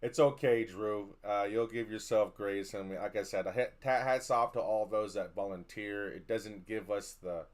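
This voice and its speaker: Middle-aged male co-host, lowish voice, blunt and profane. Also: steady tone, moderate pace, hardly any pauses. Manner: blunt, swears casually, reassuring. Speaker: podcast co-host. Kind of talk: casual sports chat.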